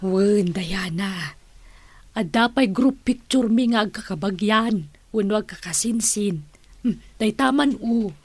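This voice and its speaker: A woman's voice: mid-range voice, takes it slowly, husky. A woman in an Ilocano radio drama playing a wealthy, plain-living older aunt, playful and good-natured, drawing out her words with emphasis. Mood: warm, reminiscing.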